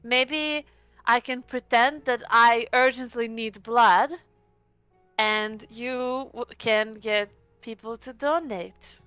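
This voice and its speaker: A woman with a fairly high, bright voice plays in a tabletop roleplaying game. Her delivery is casual and playful, captured close and dry with faint music underneath. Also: slow pace, clean tone, dry recording.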